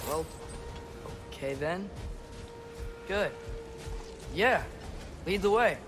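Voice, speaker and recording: A man's voice: sits high, animated, very slow, over music, full character voice. An actor playing an earnest, curious teenage boy adventurer in a video game, casual and questioning. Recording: clear ambient noise behind.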